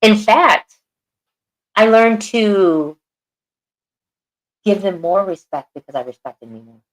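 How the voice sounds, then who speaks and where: A woman's voice: mid-range voice, animated, slow pace, low-quality recording. A woman co-hosting a podcast, talking earnestly and repeating phrases for emphasis. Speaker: podcast co-host. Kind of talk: casual podcast chat.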